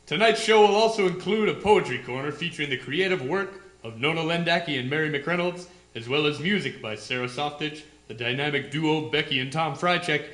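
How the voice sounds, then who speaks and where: A man's voice: a little high, a bit bright. A man hosting a stage radio show, reading scripted announcements to introduce the program. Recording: echoey stage sound.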